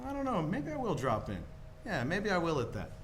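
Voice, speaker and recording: A man's voice: high voice, wildly swooping pitch, brisk. An actor playing an earnest, restless young small-town man in a 1940s radio play, with a hesitant, folksy, stammering delivery. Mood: surprised, friendly. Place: live church stage performance.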